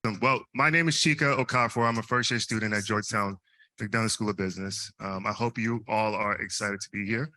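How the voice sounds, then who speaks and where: A man's voice: fairly low pitch, steady tone, brisk, bright, forceful tone, no room sound. A young man, a first-year business student, hosting a live case competition and stumbling over repeated words. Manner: hesitant, frequent repeated words. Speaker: student event host. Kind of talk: live event emceeing.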